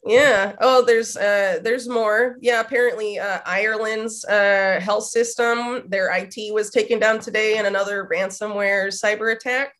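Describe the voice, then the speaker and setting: A woman's voice: medium pitch, fairly even intonation, unhurried, few pauses, a bit bright. A woman in her thirties, slightly nasal from a cold, talking as a guest in a rambling way with lots of fillers. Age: 30s. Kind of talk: casual conversation.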